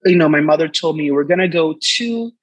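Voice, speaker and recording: A man's voice: on the high side, brisk, dry recording. A man in his twenties from the Dominican Republic, a native Spanish speaker, chatting casually as an interview guest and often padding with "like" and "you know". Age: 20s. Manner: hesitant, frequent "like" and "you know". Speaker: artist guest.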